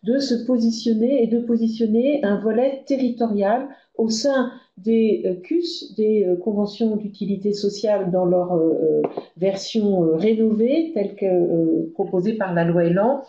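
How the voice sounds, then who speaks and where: A woman giving a semi-improvised expert presentation on a web conference, halting often with drawn-out hesitations. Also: mid-range voice, mellow. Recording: dull, echoey web conference audio.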